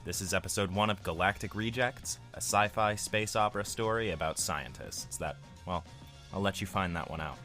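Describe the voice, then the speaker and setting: Low, bright-voiced male podcast host. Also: normal speed, soft background music. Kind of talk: mock-solemn scripted podcast introduction.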